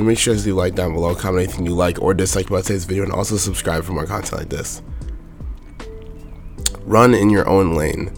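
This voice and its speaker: A young man with a sore throat hosting a motivational talk, speaking in a hoarse, raspy, monotone voice and repeating his main point in plain words. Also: deep voice. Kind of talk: casual motivational monologue.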